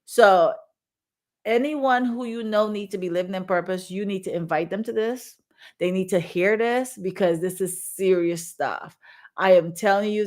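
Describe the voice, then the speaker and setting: A woman hosting a casual livestream as a dating and marriage coach, bubbly and excited, talking in rambling run-on sentences. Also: lowish voice.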